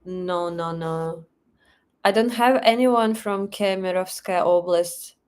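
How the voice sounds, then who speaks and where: A woman's voice: lowish voice, takes it slowly, smooth. A young woman with a Russian accent hosting a live stream, chatting casually, reading chat questions aloud and thanking viewers for donations. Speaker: livestream host.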